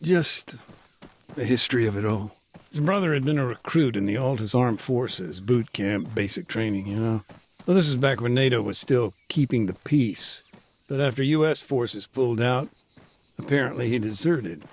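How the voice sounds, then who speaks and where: Male actor as a war-zone aid worker, easygoing, hesitant, mid-pitched, faint road noise. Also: moderate pace, close to an everyday voice. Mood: calm. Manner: relaxed, hesitant, conversational. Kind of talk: video game cutscene dialogue. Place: moving vehicle. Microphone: phone call.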